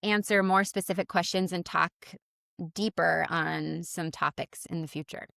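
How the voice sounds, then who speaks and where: Lady in her 30s chatting on a podcast, lowish voice, dry close mic, lots of likes and ums. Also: fairly even intonation, moderate pace, creaky, fairly bright tone, dry recording. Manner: chatty, fillers like "like" and "um", laughs easily. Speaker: registered dietitian guest. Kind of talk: casual podcast conversation.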